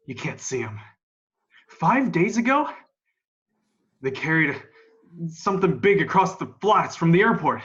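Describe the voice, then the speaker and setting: A grim, defiant militia survivor played by a young man in a radio play, in a dull, lo-fi video-call recording. The voice sits fairly high, and the delivery is folksy and halting, with emphatic bursts. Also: a bit bright. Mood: wary. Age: young adult. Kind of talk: amateur radio play acting. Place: room at home.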